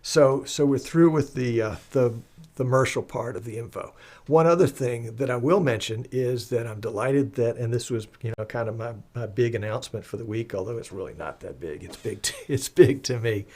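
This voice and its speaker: A man hosting a live show in a chatty, folksy, rambling and enthusiastic way, croaky as he recovers from the flu. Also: mid-range voice, normal speed, darkish tone. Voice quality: slightly husky, croaky. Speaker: live stream show host.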